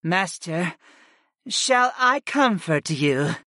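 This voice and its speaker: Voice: mid-range voice, expressive, extremely slow, pushed and bright, no echo, pitched above the performer's own voice, heavily acted. A narrator voicing female goddesses in a fantasy audiobook, murmuring, coaxing and pouting in a sultry way.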